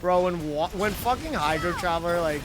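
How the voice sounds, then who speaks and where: A man giving casual, slangy and profane livestream reaction commentary on a game, with excitable delivery. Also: sits high, normal speed, music in the background. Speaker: streamer.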